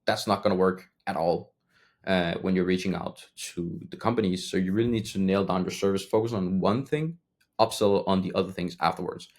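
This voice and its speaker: Man, very deep voice, English speaker, casual how-to talk, rambling, corrects himself often. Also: fairly quick. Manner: loose and rambling, frequent "um" and self-corrections. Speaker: agency owner teaching cold email outreach.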